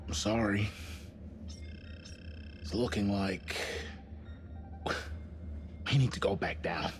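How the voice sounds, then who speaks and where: An actor playing a grave spaceship crewman in a sci-fi horror game, speaking in a measured, terse way. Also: lowish voice, a little hiss or hum. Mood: grave, resolute. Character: grave male spaceship crewman under pressure.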